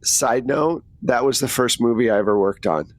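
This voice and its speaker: A man's voice: medium pitch, normal speed, dry recording. A middle-aged man improvising narration as the game master of a tabletop roleplaying game.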